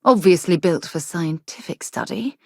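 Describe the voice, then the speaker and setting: A woman voicing a principled, caring space explorer in a video game, with an articulate, formal and expressive delivery. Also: lowish voice, moderate pace, gravelly, breathy edge, no echo, clean studio sound, exaggerated voice. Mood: admiring, wry.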